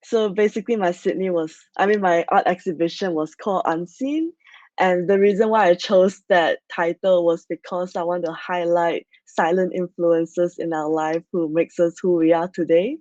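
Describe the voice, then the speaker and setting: A young woman from Malaysia presents at an online conference in a loose, chatty way, leaning on "so yeah" and "like". Her voice sits a little low. The audio is dry and a bit muffled, as from a computer mic on a video call. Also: steady tone, normal speed, a little mellow, dry recording. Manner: chatty, many fillers like "like" and "so yeah". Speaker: conference speaker.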